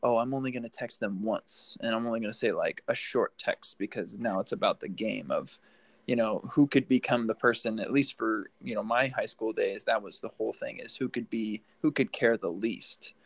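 A man hosting a podcast, asking long, rambling questions in a relaxed, go-with-the-flow way. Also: on the low side, normal speed, vocal fry, no echo. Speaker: podcast host. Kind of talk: casual interview.

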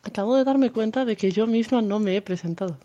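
A woman's voice: fairly high pitch, a little fast, highs cut off, crowd noise. A woman from Galicia in Spain, hosting a casual book club podcast in a chatty, self-deprecating way. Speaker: podcast host.

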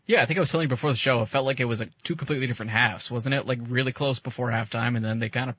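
Male radio sidekick, rapid-fire casual sports chat, mid-range voice, dry and slightly dull sound. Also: mid-range voice, steady tone, fairly bright tone, breathy edge, no echo. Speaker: radio show co-host or producer.

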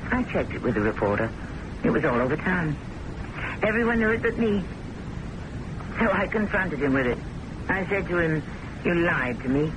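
A woman's voice: lively intonation, moderate pace, pauses often, close to an everyday voice. An American woman acting an intense, possessive, romantically obsessed woman in a theatrical radio mystery drama. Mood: bitter, defensive. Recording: noisy old radio broadcast with rumble.

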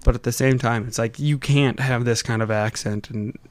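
A young man with a Midwestern Wisconsin accent who rambles and corrects himself as he co-hosts a chatty podcast. His voice is smooth and clear, recorded close and dry with no room sound. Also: medium pitch, fairly even intonation, dry recording. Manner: rambling, self-correcting, quick to laugh. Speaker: podcast co-host. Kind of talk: casual chat.

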